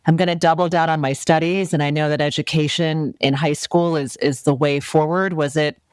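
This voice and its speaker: A woman hosting a podcast interview who heaps praise on her guest and asks slow, emphatic questions. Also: sits low, steady tone, clear, resonant tone, dry recording. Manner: gushing, warm compliments, slow emphatic questions. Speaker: podcast host.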